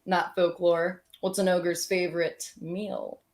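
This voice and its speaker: A woman hosting a casual live-stream happy hour, with a slightly raspy voice, giggly and chatty with many fillers. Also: lowish voice, flat delivery, takes it slowly, a little mellow. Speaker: winery happy hour host.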